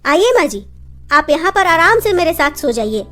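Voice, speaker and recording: A woman voicing a young daughter-in-law in an animated family comedy, theatrical and pointed, polite over simmering frustration. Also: extremely high pitch, moderate pace, heavily acted. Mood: dutifully polite. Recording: loud music bed under the voice.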